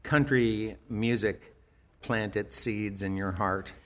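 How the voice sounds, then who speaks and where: A man's voice: lowish voice, steady tone, slow. A man interviewing a musician, asking curious, chatty questions with small hesitations.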